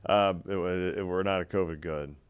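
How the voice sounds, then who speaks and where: A man's voice: sits low, steady tone, normal speed, smooth. A man hosting a panel of doctors, conversational and collegial, with a folksy touch. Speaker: host, physician moderator.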